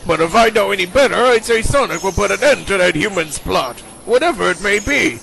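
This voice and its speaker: Gravelly, high-pitched pompous villainous scientist. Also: male voice, lively intonation, fairly quick, a bit bright, a little hiss or hum, heavily acted. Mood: grave, calculating. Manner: pompous, theatrical. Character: blustering male scientist villain, indignant and scheming. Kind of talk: amateur radio play acting.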